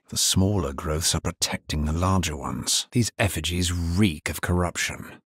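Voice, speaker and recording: Deep, husky, grim necromancer. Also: male voice, dry recording, studio quality. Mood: grave, watchful. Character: grim, dutiful male necromancer adventurer. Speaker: video game voice actor. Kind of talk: video game character voice lines.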